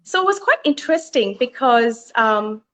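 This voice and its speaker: A middle-aged woman from Thailand telling her life story as a guest, earnest and thoughtful, with frequent pauses. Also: a little high, sing-song, a bit bright. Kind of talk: reflective personal storytelling. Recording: lo-fi online live stream.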